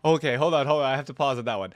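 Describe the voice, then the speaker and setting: A man's voice: medium pitch, rapid-fire, dry recording. A man hosting a reaction video in casual commentary, often repeating words and quoting back what was said.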